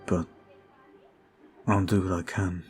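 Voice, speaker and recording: A man voicing a brooding male vampire who is weary and guilt-ridden, talking slowly and softly in an ASMR roleplay. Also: sits low, little pitch movement. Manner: slow, soft, confiding. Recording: faint music behind.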